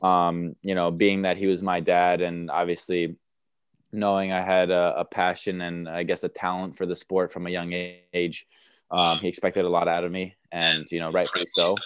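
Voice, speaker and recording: A young man with a very low, even voice talks through his answers, stopping and restarting often. His tone is smooth and resonant. The sound is dry and close, with little room around it. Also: normal speed, no echo. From United States. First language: Romanian. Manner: relaxed, many fillers and restarts. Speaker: tennis player guest. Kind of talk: interview answers.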